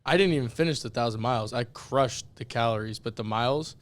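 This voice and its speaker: A young man co-hosting a casual podcast, his voice raspy and worn out from yelling, talking in a candid, self-deprecating way. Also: medium pitch, a little fast. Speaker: podcast co-host.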